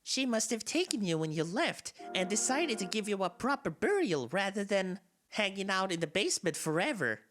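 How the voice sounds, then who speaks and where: A woman's voice: mid-range voice, very animated, moderate pace, a bit bright, a little hiss or hum. A woman voicing a soulless, bored talking flower in a dramatic game fan dub, confiding and theatrical, swinging between wistful and menacing. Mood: weary, intense. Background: game soundtrack music.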